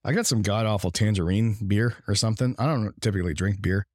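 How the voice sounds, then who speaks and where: Male streamer, low husky voice that is wearing out, halting and rambling, very dry close sound. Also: no echo. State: losing his voice. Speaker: livestream and podcast co-host. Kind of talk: casual gaming talk.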